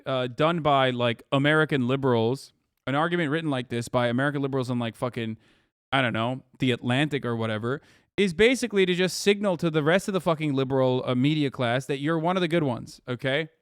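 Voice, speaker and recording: A man's voice: a little high, a little fast, a bit bright, clear, resonant tone, dry recording, studio quality. Profane, sarcastic man ranting on stream. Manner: profane, sarcastic, heated rants. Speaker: streamer host. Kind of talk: live stream reaction commentary.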